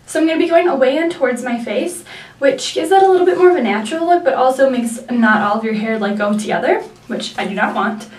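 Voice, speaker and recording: A woman's voice: high voice, lively intonation, fast talker, distant mic. A young woman with a nasal voice from a sinus infection, chatting through a hair tutorial with many likes and ums. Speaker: beauty vlogger. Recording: echoey room, mic set back.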